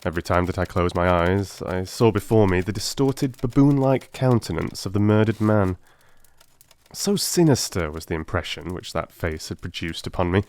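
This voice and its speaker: A man narrating an audiobook in the first person, with a measured, grave and theatrical delivery. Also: sits low, a little mellow.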